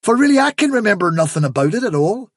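A man voicing a wily storybook rabbit chief who sounds fatherly, reasonable and gently condescending. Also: high voice, sing-song, fast talker, breathy edge, no echo, studio quality, voice pushed up, full character voice. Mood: polite, puzzled. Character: wily male rabbit chief, fatherly, patient, mock-kindly on trial. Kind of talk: storybook character reading.

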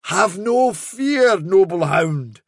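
A high-pitched, grandiose trickster fairy dog. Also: male voice, gravelly, no room sound, clean studio sound, heavily acted. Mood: coaxing, lofty. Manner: grandiose, drawn-out, theatrical flattery. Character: wily trickster posing as grand, flattering fairy dog messenger. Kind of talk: dramatic storybook reading.

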